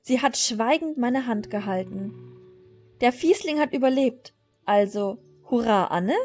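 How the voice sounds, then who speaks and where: A woman reads out a young female rider in a fantasy horse game, bitter and weighed down by guilt. The delivery is dramatic and brooding in a fairly high voice, with music behind it. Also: expressive, unhurried, a little mellow. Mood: guilt-ridden. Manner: dramatic, brooding read-aloud confession.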